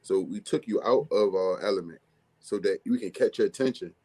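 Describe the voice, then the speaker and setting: A man from with a deep, bass-heavy, mumbly voice, talking casually as a music artist guest in an interview. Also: fairly quick, dark tone, slightly rough. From Baltimore, USA. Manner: mumbly, laid-back streetwise delivery.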